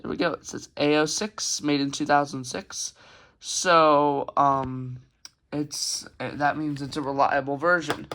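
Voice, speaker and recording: Slow, halting man reviewing his collection. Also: on the high side, flat delivery, pushed and bright. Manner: hesitant, halting, unscripted rambling. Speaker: hobbyist video host. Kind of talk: casual show-and-tell review. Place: carpeted room at home.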